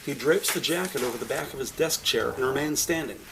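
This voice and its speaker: A man narrating a crime audio drama with scripted, descriptive storytelling in a deliberate, literary manner. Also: mid-range voice, vocal fry, a bit bright, slight background noise.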